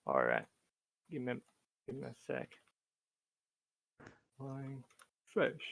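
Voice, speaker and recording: A man's voice: medium pitch, huge pitch swings, slow, gravelly, fairly bright tone, no echo. A man from Barbados chatting casually as a class participant, speaking in a hesitant way and repeating himself. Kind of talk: casual chat.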